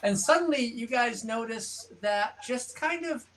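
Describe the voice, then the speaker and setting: A game master improvising narration for an online tabletop game in a conversational, halting way. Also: mid-range voice, animated, fairly bright tone, slight background noise. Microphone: online group call.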